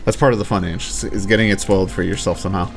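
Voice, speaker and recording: A man's voice: low voice, fairly even intonation, rapid-fire, creaky. A man doing casual let's play commentary and chatting with viewers in a wry, mock-exasperated way. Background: faint game music.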